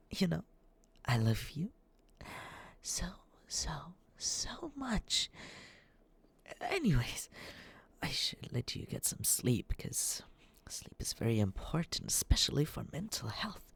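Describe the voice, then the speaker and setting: An obsessive, lovesick young man, acted by a male ASMR performer, awkward and sweetly creepy. His husky voice lingers slowly over words and slips into soft, breathy asides. The pitch wanders up and down as he dotes nervously on the listener. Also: heavily acted. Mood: eager, adoring, then tender, creepy. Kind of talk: ASMR roleplay.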